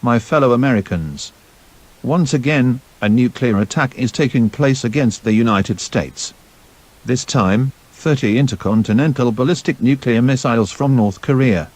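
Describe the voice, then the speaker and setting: A man's voice: medium pitch, normal speed, a little mellow, lightly acted. A man playing a solemn, defiant United States President giving a formal scripted address in an audio drama.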